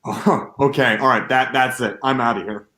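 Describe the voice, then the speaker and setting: A man playing a bewildered, uneasy grown son in an amateur staged play reading, halting and repeating his words. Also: mid-range voice, sharp tone, natural voice. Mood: exasperated. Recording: echoey, roomy sound.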